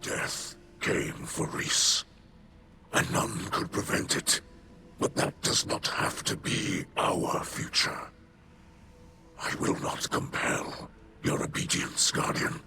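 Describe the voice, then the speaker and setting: An actor playing a weary, noble old alien leader in a video game, with a whispery rasp and formal, oratorical speech. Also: male voice, takes it slowly, noisy background, natural voice. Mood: resolute, solemn. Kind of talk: dramatic video game cutscene acting. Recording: loud music under the voice.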